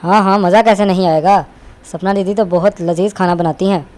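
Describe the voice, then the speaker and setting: A woman voicing a kind, eager young male eatery helper, friendly and earnest, in an animated moral story. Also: medium pitch, a little fast, lightly acted. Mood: cheerful, eager.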